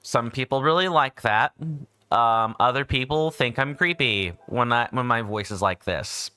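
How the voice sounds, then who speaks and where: A man's voice: mid-range voice, unhurried, sharp tone, clear, resonant tone. A man, just woken up, chatting casually as a game stream host, rambling with frequent self-corrections. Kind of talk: casual livestream chat.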